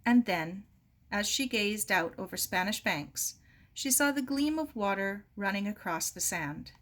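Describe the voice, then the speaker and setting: A woman narrates a children's novel aloud at an unhurried pace. Her voice sits at an ordinary pitch for a woman, and the delivery is that of expressive storytelling. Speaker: story reader.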